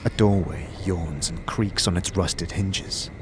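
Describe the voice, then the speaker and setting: A man narrating a scripted second-person adventure story in a measured, dramatic manner. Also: low voice, noisy background. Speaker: narrator, game master. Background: music.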